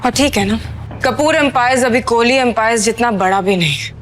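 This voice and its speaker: A woman's voice: fairly high pitch, fairly quick, faint music behind, close to an everyday voice. A woman playing a proud, scornful CEO and betrayed ex-wife in a Hindi audio drama, indignant and cutting. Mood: proud, determined.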